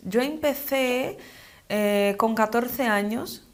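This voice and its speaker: A young woman with a non-native Spanish accent answering interview questions in a hesitant, measured, conversational way. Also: fairly high pitch. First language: not Spanish, speaks it as a second language. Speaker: interview guest, model and actress.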